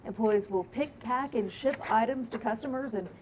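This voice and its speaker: A woman news anchor reading a scripted evening newscast in a measured, clear way. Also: fairly high pitch, crowd noise.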